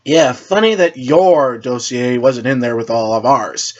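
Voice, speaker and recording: A man's voice: medium pitch, a little slow, natural voice. A man voicing a suspicious, sarcastic teenage superhero in an amateur story reading. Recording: dull lo-fi recording.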